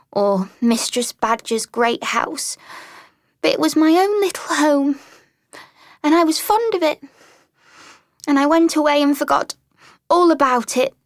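A small, tearful mole with a high, slow, halting voice, put on by a woman reading the story. She stammers between sobs and pleads timidly. The sound is close and dry, with no room around it. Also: dry recording. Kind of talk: storybook character voice.

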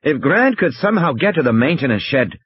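A man's voice: a little high, sing-song, fairly quick, muffled recording, voice pushed up. A man voicing an earnest male technical expert who explains and reasons, in dramatized audiobook dialogue. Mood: earnest, hopeful.

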